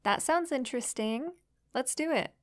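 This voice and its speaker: Friendly young succubus played by a woman, smooth and highish, playful, for intimate roleplay audio. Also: animated, moderate pace. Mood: curious, eager. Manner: soft, playful, sweetly inquisitive. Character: friendly, curious young female succubus new to human life. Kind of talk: intimate roleplay audio drama, addressed to the listener.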